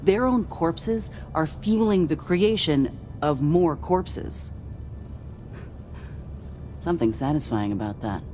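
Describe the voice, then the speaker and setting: An actress playing a zealous military starship crew member in a video game, musing in a conversational way. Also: female voice, low voice, expressive, slow, long pauses, mellow, soft background music. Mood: musing, satisfied. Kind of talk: video game character dialogue.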